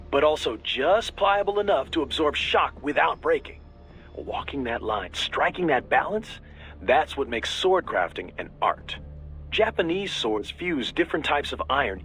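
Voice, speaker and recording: A man's voice: a little high, sing-song, normal speed, a bit bright, poor audio. An actor playing an easygoing, tech-savvy male mission advisor in a video game, explaining things casually and keenly. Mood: keen, explanatory. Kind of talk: video game radio briefing voice acting.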